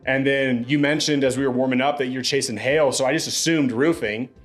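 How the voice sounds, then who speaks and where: A man's voice: medium pitch, steady tone, a little fast, faint music behind. A young man hosting a podcast in an upbeat, laid-back, chatty way. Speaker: podcast host.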